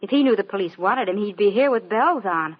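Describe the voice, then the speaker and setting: A woman's voice: medium pitch, expressive, a little fast, lightly acted. An actress playing a sassy, defiant young show-business woman with pert comebacks in an old-time radio mystery. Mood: defiant, loyal. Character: sassy, defiant young showbiz blonde under questioning. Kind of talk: old-time radio detective drama acting. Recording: muffled vintage radio recording.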